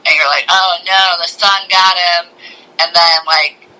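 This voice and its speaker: A man's voice: sits high, measured pace, low-quality recording. A man co-hosting a podcast, chatting casually through a film review and acting out bits as the story is retold. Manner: animated retelling, mimics characters' lines in passing. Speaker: podcast co-host. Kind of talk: casual movie review chat.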